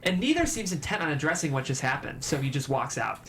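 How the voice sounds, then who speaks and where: A man's voice: fairly high pitch, sing-song, fast talker, fairly bright tone, a little hiss or hum. A man reading stage directions as narrator at a table read, with dramatic storytelling delivery.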